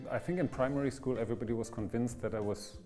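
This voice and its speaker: A man's voice: mid-range voice, quick pace, a little mellow. A man of German origin, a painter, speaking in a matter-of-fact interview monologue. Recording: faint music behind.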